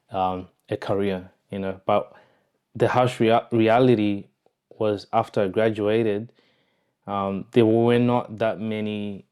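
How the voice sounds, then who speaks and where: A young Zimbabwean man talks casually to the camera about his own life. His voice is on the low side and stays quite level, with a smooth, resonant sound. He comes across as reflective and conversational. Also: a little mellow. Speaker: vlogger, online entrepreneur. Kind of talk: casual personal storytelling to camera.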